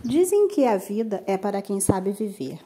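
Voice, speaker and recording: A woman's voice: mid-range voice, sing-song, moderate pace, warm tone, slight background noise. A woman in her thirties with a Brazilian accent, talking casually and earnestly as a vlog host, encouraging her viewers. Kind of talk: casual vlog talk and narration.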